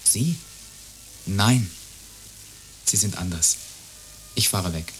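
A halting, lovelorn male neighbour. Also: low-pitched, fairly bright tone, faint music behind, exaggerated voice. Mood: wistful, earnest. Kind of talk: radio play dialogue.

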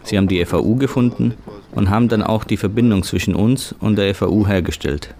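A man with a low voice reads a translation aloud, steady and measured. He keeps an even, unhurried tone throughout. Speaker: voice-over interpreter. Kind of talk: reading a German translation.

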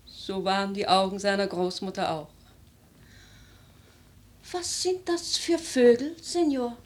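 Radio drama grandmother: aristocratic old lady, imperious yet life-loving, middle-pitched, stagey lyrical phrasing. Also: sing-song. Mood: brisk, chiding. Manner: theatrical, measured, lyrical, with emphatic swells and hushed phrases. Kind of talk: literary German radio drama acting.

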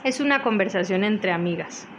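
A woman's voice: mid-range voice, lively intonation, moderate pace, fairly bright tone, highs cut off, a little hiss or hum. A woman conducting a friendly, conversational radio interview. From Colombia.

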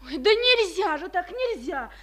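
Naive young bride, very high voice. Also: female voice, big pitch swings, unhurried, exaggerated voice. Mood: naive pleading. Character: naive, stubborn young bride-to-be, devoted to mother. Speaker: actress in a radio play. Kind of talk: 1950s Soviet radio theatre acting.

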